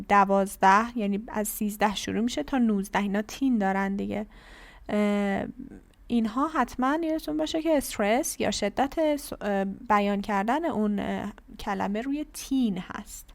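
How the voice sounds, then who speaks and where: A woman's voice: mid-range voice, racing, creaky. A woman with an Iranian accent, a native Persian speaker, teaching beginners in an instructive, emphatic way with repeated phrases. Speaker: English teacher. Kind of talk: classroom lesson for beginners, switching between English and Persian.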